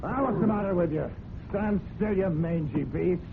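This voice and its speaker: An actor playing a surly, resentful, half-drunk horse farm trainer, muttering in folksy, ungrammatical speech in an old radio drama. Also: male voice, high-pitched, normal speed, creaky. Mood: grumbling. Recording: noisy, phone-like old radio recording.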